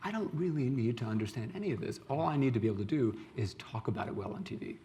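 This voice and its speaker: A man speaking on a panel in a lively, wry way. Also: medium pitch, slight background noise. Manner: lively, wry, fast-talking. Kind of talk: panel discussion.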